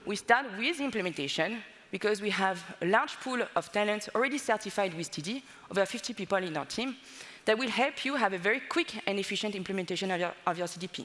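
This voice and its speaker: French-accented woman in her 30s, stage presenter, mid-pitched, boomy hall sound. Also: expressive, quick pace, fairly bright tone. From France. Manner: fluent but slightly non-native phrasing, many "uh" fillers. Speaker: conference speaker. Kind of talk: conference presentation. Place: large conference hall on stage.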